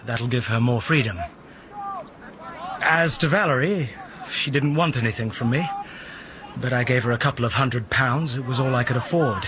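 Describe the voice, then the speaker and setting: An actor playing a former schoolteacher answering a police inspector with frank, rueful candor. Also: male voice, mid-range voice, normal speed, noisy background, close to an everyday voice.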